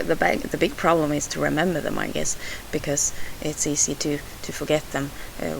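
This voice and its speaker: A woman's voice: low voice, gravelly. A Swedish woman with a Swedish accent, narrating a scrapbooking process in a hesitant voice-over full of "uh" fillers and restarts. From Sweden. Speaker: scrapbooking video host. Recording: hissy home voice-over.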